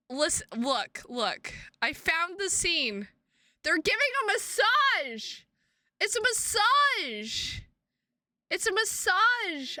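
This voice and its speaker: A woman live streaming games, chatting casually with viewers in an excitable, sweary way and repeating her phrases for emphasis. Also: high voice, no room sound. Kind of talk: casual livestream chat with viewers.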